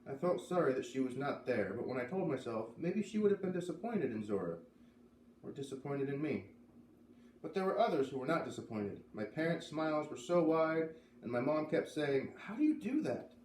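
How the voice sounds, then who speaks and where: A man reading a children's novel aloud as an expressive storybook narrator. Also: mid-range voice, moderate pace. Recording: roomy, distant mic, faint noise.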